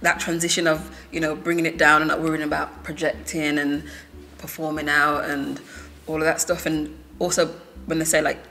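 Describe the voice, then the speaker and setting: A woman's voice: low-pitched, level tone, normal speed, pushed and bright. A woman, an actor guest on a casual panel chat, thoughtful and searching for words.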